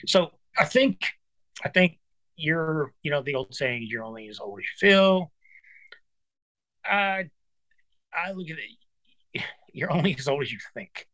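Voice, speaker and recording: A man's voice: a little high, animated, a little slow, long pauses, pushed and bright, dry recording, poor audio. A man of fifty, a guest musician chatting casually and earnestly, calling his host brother and dude. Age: 50s. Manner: earnest, encouraging, says brother and dude a lot. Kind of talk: casual chat.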